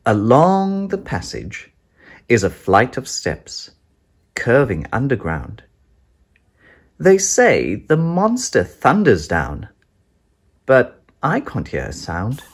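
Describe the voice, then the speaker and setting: Slow, highly animated children's storybook narrator. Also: slightly rough. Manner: dramatic, suspenseful, deliberate phrasing. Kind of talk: expressive children's read-aloud storytelling.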